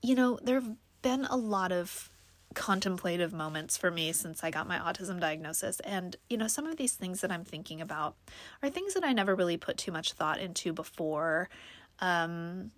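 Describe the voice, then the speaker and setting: A woman's voice: lowish voice, animated, normal speed, dry recording. A young woman talking casually to her viewers in a vlog, stuffed up from allergies, reflective and full of ums and likes. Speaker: vlogger. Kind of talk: casual personal vlog talk.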